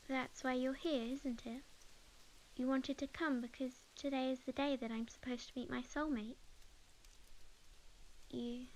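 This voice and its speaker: High-voiced, tender young witch, acted by a woman, Australian accent. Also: slight background noise, low-quality recording. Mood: surprised, hesitant. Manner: soft, hesitant, sometimes dropping to a whisper. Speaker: ASMR audio roleplay performer. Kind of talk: soft, intimate ASMR romance roleplay.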